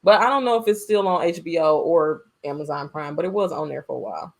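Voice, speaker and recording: A woman co-hosting a casual film review chat, speaking in an informal, slangy and expressive way. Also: low voice, quick pace. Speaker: podcast co-host.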